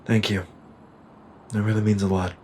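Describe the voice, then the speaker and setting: A man's voice: on the low side, level tone, normal speed, darkish tone, husky. A man playing an earnest male soldier in a sci-fi audio drama, casual and plain-spoken. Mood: downcast. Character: earnest, troubled male soldier, reluctant squad leader.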